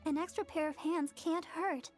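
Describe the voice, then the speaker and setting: High-voiced, earnest young woman. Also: steady tone, normal speed, darkish tone, faint music behind. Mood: firm, innocent. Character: sweet, earnest young woman, easily flustered and devoted. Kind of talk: English anime game voice acting.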